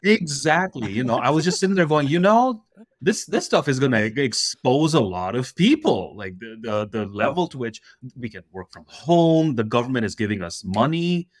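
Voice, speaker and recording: A man in his forties from Russia, a comedian guest on a podcast, telling stories in an animated way, laughing mid-sentence and acting out quoted voices. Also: medium pitch, normal speed, dry recording. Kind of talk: casual podcast conversation.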